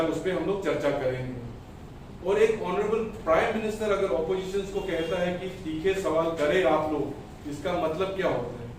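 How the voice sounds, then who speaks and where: Echoey, high-pitched man making a political statement. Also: a little mellow. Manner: emphatic, deliberate, in short phrases with rhetorical questions. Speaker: political party spokesperson. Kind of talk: press conference political statement. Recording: echoey room, distant mic, faint noise.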